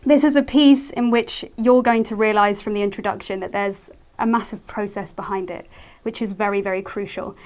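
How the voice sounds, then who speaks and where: A woman's voice: mid-range voice, steady tone, normal speed, a little mellow. A woman festival presenter with a mid-range voice, introducing a radio play to an audience.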